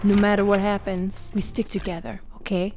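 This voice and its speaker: A brave young captive in a radio drama, played by a woman. She talks back with defiant sarcasm and fierce protectiveness, in a medium-pitched voice over faint music. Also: flat delivery, moderate pace, close to an everyday voice. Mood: brave, indignant. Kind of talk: radio play acting.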